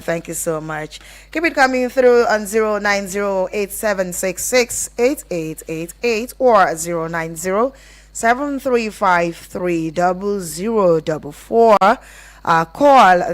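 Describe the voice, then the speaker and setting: A Nigerian-accented woman hosts a live radio phone-in. Her voice sits a little low for a woman, and she speaks as a presenter handling listeners' messages and calls. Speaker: radio call-in show host.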